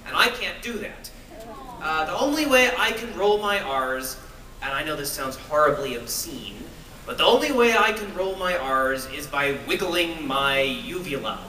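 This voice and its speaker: Jet-lagged guy talking to a crowd, high voice, joking around, recorded from way back in an echoey room. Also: expressive, moderate pace, sharp tone. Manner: playful and self-mocking, with jokes and laughs. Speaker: author reading at a fan event. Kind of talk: live talk and reading to an audience. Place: convention hall with an audience. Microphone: phone or camera recording from the audience. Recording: echoey hall, voice from far off, noisy.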